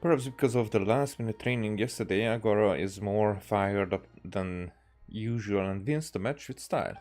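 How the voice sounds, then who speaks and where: A man from Hungary with a Hungarian accent, giving casual gameplay commentary as a streamer, musing aloud in a halting way. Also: fairly low pitch, darkish tone. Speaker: game streamer.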